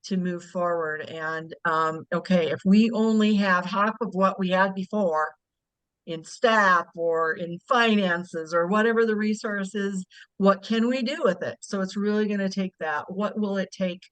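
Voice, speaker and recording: A woman's voice: mid-range voice, unhurried, fairly bright tone, dry recording, low-quality recording. A middle-aged woman facilitating a breakout discussion on a video call, asking encouraging, probing questions. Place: home living room.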